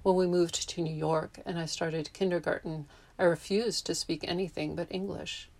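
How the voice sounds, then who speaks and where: A woman in her fifties reads her own essay aloud in a calm, measured way. Her voice sits a little low for a woman. She was born in Japan and grew up in the US. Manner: reflective, measured. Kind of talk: reading a personal essay.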